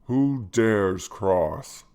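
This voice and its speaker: A man voicing a menacing undead horseman who guards a bridge in a fantasy tale, with an inhumanly deep, ominous, drawn-out delivery. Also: expressive, darkish tone, clean tone, full character voice. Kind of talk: fantasy storytelling character voice.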